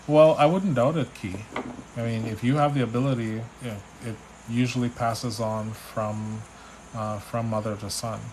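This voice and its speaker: A man from Trinidad with a Trinidadian accent, a spiritual reader on a live stream, relaxed and chatty as he reads out viewers' questions. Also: mid-range voice, moderate pace, a little hiss or hum, poor audio. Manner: relaxed, chatty, reads names and questions aloud, restarts often. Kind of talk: live stream reading, answering chat questions.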